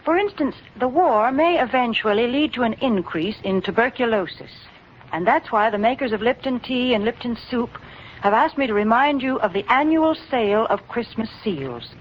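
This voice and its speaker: A woman reading a scripted 1940s radio sponsor announcement in an earnest, measured way. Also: fairly high pitch, expressive. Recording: muffled, hissy 1940s radio recording.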